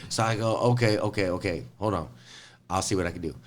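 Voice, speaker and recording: A man with a low voice hosts a casual podcast. He talks in loose slang with easy swearing. A slight noise runs behind him. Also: fast. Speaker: podcast host. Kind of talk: casual profane banter.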